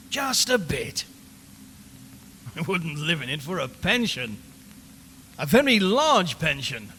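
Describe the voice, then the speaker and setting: A man's voice: sits high, pushed and bright, heavily acted. Man acting a jittery radio reporter, cavernous reverb. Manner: chatty, wry, increasingly uneasy. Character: male radio reporter broadcasting nervously from a haunted house. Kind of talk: dramatised live radio commentary. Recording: long reverberant hall, background noise.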